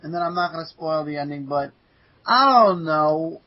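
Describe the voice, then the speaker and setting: Male podcast co-host, lighter-pitched voice, informal recap chat, dull recording. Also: lively intonation, normal speed. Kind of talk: casual chat, comic book recap.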